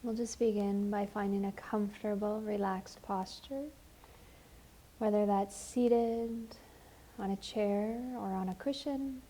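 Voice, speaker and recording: A woman leading a guided meditation as a teacher, speaking gently and soothingly, with unhurried phrases and long pauses. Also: medium pitch, steady tone, a little hiss or hum, low-quality recording.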